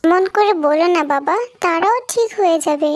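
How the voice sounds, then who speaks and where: A woman's voice: very high voice, moderate pace, no echo. A woman voicing a dutiful, caring young eldest daughter in a cartoon fairy tale, with a patient, earnest manner. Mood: reassuring.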